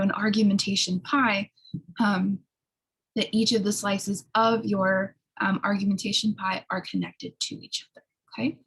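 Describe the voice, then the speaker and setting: Woman, writing instructor, slightly hoarse, gravelly fry, explanatory lecture delivery, frequent check-in tags. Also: medium pitch, rough recording.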